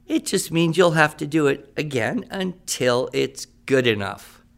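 A man's voice: a little high, expressive, measured pace, creaky, a bit bright, exaggerated voice. A man playing a patient writing teacher in a radio drama, explaining step by step and checking that students follow. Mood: instructive. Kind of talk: improvised-sounding classroom teaching in a radio drama.

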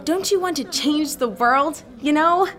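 A woman's voice: high voice, expressive, a little slow, a bit bright. A woman voicing a gushing, dreamy teenage girl in a radio drama, full of "like" and "you know.".

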